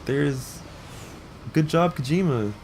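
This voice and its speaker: A man's voice: on the high side, slow pace, a little mellow. A man casually commentating while he plays a game, in short, crudely joking remarks. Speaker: let's play player and commentator. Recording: noticeable background noise.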